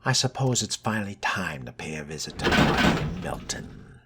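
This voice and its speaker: A man roleplaying through a game in character, musing to himself in a wry, measured and formal way. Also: low-pitched, sing-song, heavy background noise. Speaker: roleplaying gamer narrating a playthrough.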